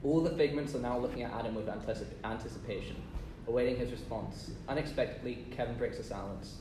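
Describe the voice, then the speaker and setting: Casting: male table-read narrator, medium pitch, reading directions quickly in a roomy space. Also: dark tone. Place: echoey room, off-mic. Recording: echoey, noisy, distant microphone.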